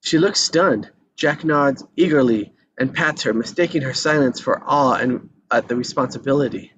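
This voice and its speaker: A man's voice: mid-range voice, normal speed, a bit bright, highs cut off. A man reading stage directions as scripted narration at a live table read. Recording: faint background noise.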